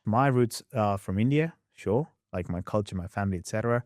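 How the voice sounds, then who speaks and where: A young man with an accent blending Australian and English speech tells his story as a podcast guest. His voice sits low and comes across dry, with no room around it. He is lively and quick, acting out scenes as he talks. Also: no room sound. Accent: mixed Australian and English. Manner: animated, quick storyteller who acts out past scenes. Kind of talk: candid interview storytelling.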